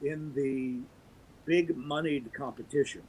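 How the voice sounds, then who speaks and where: A man's voice: medium pitch, fairly even intonation, slow, a little mellow, slight background noise, poor audio. A man, a guest on a podcast, talking casually and with conviction about producing audio drama, getting onto his soapbox. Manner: opinionated, holding forth on a pet topic.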